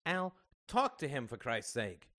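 Man putting on an exasperated scolding mother, pitched high. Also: huge pitch swings, unhurried, sharp tone, no echo, clean studio sound, full character voice. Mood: wounded, indignant. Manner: scolding, wounded, pleading. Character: exasperated, scornful mother fussing over stage costumes.

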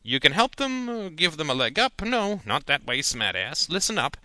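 A rambling, folksy old small-town uncle holding forth with homespun advice, his voice pitched well up and sounding like somebody else entirely, as a male narrator puts him on. He preaches in a lively, bright tone, swinging up and down as he warns his nephew about the world. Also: moderate pace, dry recording, heavily acted. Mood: lecturing. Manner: preachy, rambling, down-home lecturing.

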